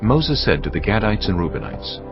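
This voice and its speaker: A man narrating scripture in a steady, measured storytelling voice. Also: on the low side. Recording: dull lo-fi recording with music behind.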